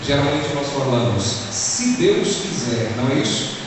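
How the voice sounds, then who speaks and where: A man's voice: medium pitch, sing-song, normal speed, background noise. A Brazilian man in his thirties with an Alagoas accent, preaching a sermon to his congregation in an earnest, direct way. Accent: Brazilian Portuguese, Alagoas. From Brazil, Maceió. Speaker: pastor. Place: church sanctuary. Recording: echoey church hall, far from the mic.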